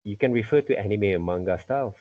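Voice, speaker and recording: A middle-aged man with a husky voice teaching an informal online lesson, repeatedly checking with "okay" and "right". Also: on the low side, fast. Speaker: teacher, art and character design tutor. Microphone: computer mic on a video call. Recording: muffled video-call audio.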